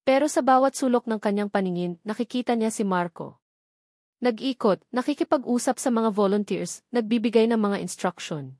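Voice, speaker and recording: A woman's voice: on the high side, normal speed, a little mellow, dry recording. Dry-sounding woman narrating a story. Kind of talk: scripted dramatic narration.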